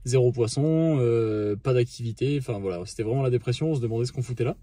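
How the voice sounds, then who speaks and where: A man's voice: medium pitch, quick pace, no room sound. A man in his thirties recapping a fishing trip to camera in casual, slang-filled talk with lots of fillers, filmed in a car on a phone held at arm's length. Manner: slangy, many fillers, excited storytelling. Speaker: fishing vlogger. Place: inside a parked car.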